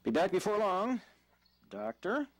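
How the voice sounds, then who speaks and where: An actor playing an earnest, polite young husband in an audio drama, slightly formal and easily exasperated. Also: male voice, high voice, wildly swooping pitch, unhurried, exaggerated voice. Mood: light, affable. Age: young adult.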